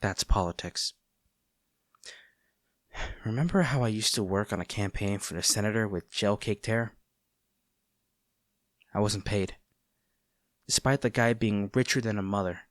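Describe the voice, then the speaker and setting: A man playing a conflicted heroic captor in a dramatic audio roleplay, earnest and halting, tender toward an old friend turned enemy. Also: on the low side, no room sound, clean studio sound. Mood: somber. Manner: earnest, halting, formal wording with dramatic pauses.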